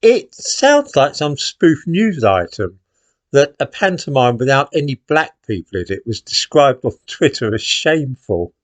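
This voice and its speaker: A man's voice: medium pitch, very animated, measured pace, dry recording. An older man with an English accent delivering an opinion monologue in a sardonic, indignant manner, leaning on rhetorical questions. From England. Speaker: commentator, host.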